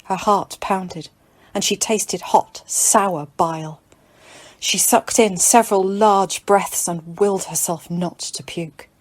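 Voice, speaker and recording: A woman's voice: medium pitch, steady tone, slow. A woman narrating scripted dramatic fiction as an audiobook reader.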